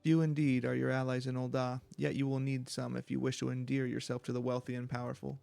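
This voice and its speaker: A man's voice: medium pitch, little pitch movement, fast talker, creaky, dark tone, clean tone, no room sound, natural voice. A man voicing a smug, silver-tongued young rich nobleman from a fantasy game, in haughty, formal, eloquent speech. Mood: courteous, self-assured. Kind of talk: reading video game dialogue in character.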